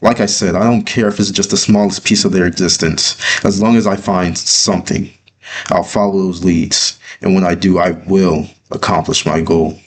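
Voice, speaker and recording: A man reading his own story as a dramatic first-person narration, earnest, with deliberate pauses. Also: low-pitched, fairly even intonation, a little hiss or hum.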